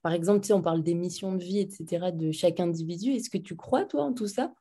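A woman hosting a podcast, asking questions and chatting in a relaxed conversational way with frequent agreeing responses. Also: fairly low pitch, quick pace. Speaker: podcast host, interviewer.

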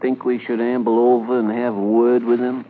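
An actor playing an easygoing, folksy small-town railway worker in a 1950s radio drama. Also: male voice, medium pitch, flat delivery, moderate pace, a little hiss or hum, lightly acted. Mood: curious, easygoing. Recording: thin lo-fi 1950s radio recording.

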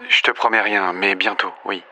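Audio drama: proud rescue worker reassuring family, hesitant pauses, lowish forceful voice. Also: male voice, flat delivery. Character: Igor, reassuring, proud male rescue worker at nuclear disaster.

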